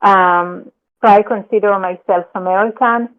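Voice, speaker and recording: A woman from Israel, speaking hesitantly with many pauses and ums as a caller. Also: medium pitch, fairly even intonation. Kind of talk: casual conversation. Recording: tinny phone line.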